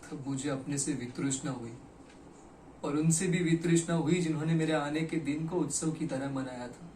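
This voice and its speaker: A man performing a theatrical monologue as a broken, regretful poet, speaking dramatically and deliberately with weighted pauses. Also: on the high side, fairly even intonation, a little mellow, natural voice. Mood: anguished. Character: broken, regretful poet confessing to his lost beloved. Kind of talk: theatrical Hindi stage monologue. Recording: echoey room, distant mic, faint noise.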